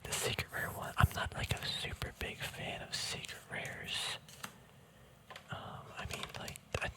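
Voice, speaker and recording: An ASMR host speaking in a casual, rambling whisper, hesitant and thinking aloud. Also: unhurried. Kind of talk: ASMR whispering, casual card unboxing chat.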